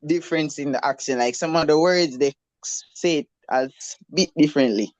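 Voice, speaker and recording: A man's voice: on the high side, a little fast, no echo. A man from Jamaica with a Jamaican accent, a student talking with his tutor over a video call, hesitant and self-correcting. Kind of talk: casual tutoring session. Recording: muffled video call audio.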